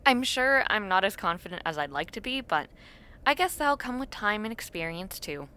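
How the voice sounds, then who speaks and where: A woman's voice: mid-range voice, sing-song, normal speed, bright, forceful tone, clear, resonant tone. A woman playing an awkward, imaginative young university dormmate in a casual, intimate audio roleplay, chatting in a hesitant, self-deprecating way. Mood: earnest, self-deprecating.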